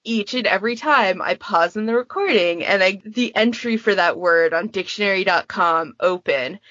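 A woman talks informally as a podcast panelist, animated and happy to poke fun at herself, with some swearing. Her pitch sits in an ordinary female range. The sound is very dry and a little muffled. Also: normal speed, no room sound. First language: English. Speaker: podfic creator and podcast panelist. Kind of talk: casual podcast chat.

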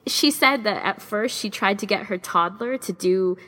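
A woman podcast co-host chatting casually in an informal, animated way, wandering into tangents as she gives recommendations. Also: medium pitch, normal speed. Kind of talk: casual chat, recommending audio fan fiction.

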